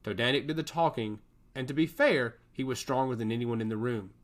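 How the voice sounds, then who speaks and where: A man's voice: medium pitch, brisk. A man narrating a scripted fantasy story in a dramatic storytelling style.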